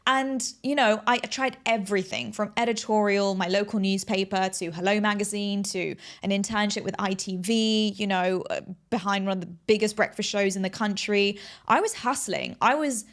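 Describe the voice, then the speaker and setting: A woman with a medium-pitched voice shares personal stories as a guest in an interview. Her delivery is conversational and earnest. She stresses her points with a motivational push. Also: moderate pace, hardly any pauses. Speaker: interview guest, aspiring TV presenter telling career story.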